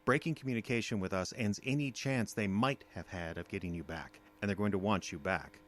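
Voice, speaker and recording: An actor playing a coolly menacing, condescending alien invader in an audio drama, speaking in a measured, threatening way. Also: male voice, fairly low pitch, a bit bright, soft background music, close to an everyday voice. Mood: dismissive.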